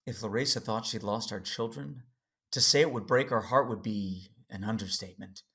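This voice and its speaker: Man playing a low-voiced, earnest Jedi father. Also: fairly bright tone. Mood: grave, worried. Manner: serious, deliberate storytelling. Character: earnest, worried Jedi father recounting his story. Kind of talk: first-person audio drama narration and dialogue.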